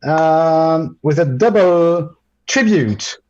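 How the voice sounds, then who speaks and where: Hesitant French-speaking man, mellow, muffled. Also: on the high side, expressive, drawn-out words. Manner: hesitant, many ums and restarts. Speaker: guest presenter. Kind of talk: live screen-share tutorial.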